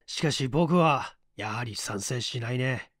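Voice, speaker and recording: A man playing a calm tough guy who lectures and commands in a leisurely, cool manner in an audiobook story. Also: medium pitch, measured pace, no room sound, studio quality. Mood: stern, unhurried. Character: calm, unhurried tough man coolly lecturing his beaten foe.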